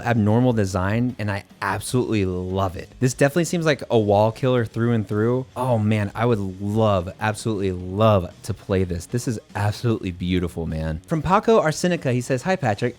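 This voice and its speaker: An upbeat young man talks casually from a home studio mic, reading out messages and gushing over what he sees. His voice sits at an ordinary middle pitch, and he laughs easily between lines. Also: darkish tone. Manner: enthusiastic, casual, laughs often. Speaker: host of a bass channel. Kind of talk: reading viewer emails and reacting. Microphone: home studio microphone.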